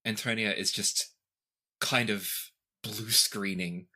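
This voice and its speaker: A woman's voice: rumbling low, steady tone, slow, bright, forceful tone, slightly rough. A woman running a tabletop game as game master, improvising the story aloud for her players.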